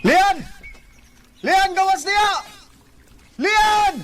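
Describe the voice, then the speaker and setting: High-voiced heartbroken Cebuano lover. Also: male voice, very slow, fairly bright tone, faint music behind, full character voice. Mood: anguished, angry. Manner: melodramatic, emphatic. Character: heartbroken jilted young Filipino man, pleading and hot-tempered. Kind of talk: Bisaya radio drama acting.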